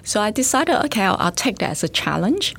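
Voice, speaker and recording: A woman's voice: medium pitch, big pitch swings, fast, creaky, fairly bright tone. A middle-aged woman from Singapore, a scientist and facility director, chatting thoughtfully in an interview with frequent fillers and restarts. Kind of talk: casual interview chat.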